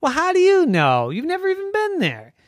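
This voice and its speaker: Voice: very high voice, huge pitch swings, brisk, voice pushed up, full character voice. A narrator doing a gang of rowdy bowling pins. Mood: mocking. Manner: boisterous, cheering, teasing. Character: rowdy excited bowling pins, bossy and mocking. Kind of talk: storybook voice acting.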